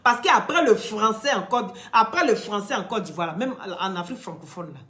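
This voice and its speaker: A woman from Ivory Coast with an Ivorian French accent, giving impassioned commentary straight to the camera, preachy and emphatic, swinging from loud peaks to quiet, near-whispered asides. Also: mid-range voice, expressive, moderate pace. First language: Dioula or another Ivorian language. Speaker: social media commentator.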